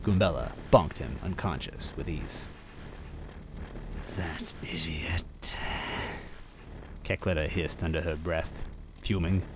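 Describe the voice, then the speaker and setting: A man's voice: low voice, normal speed, background noise. A man narrating a story aloud in a dramatic first-person style.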